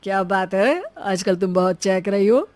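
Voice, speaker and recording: A woman's voice: mid-range voice, animated, moderate pace, a little mellow, lightly acted. A woman voicing a mild adult husband in a family story, with a reasoning manner. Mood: curious, teasing. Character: mild adult husband defending his mother.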